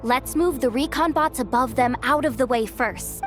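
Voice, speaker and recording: A woman voicing a plucky, self-doubting young female mechanic in a video game, with an expressive, chatty delivery. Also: high voice, normal speed, over music, natural voice. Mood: impressed, focused. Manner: expressive, chatty, hesitant when thinking aloud. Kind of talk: animated video game voice acting.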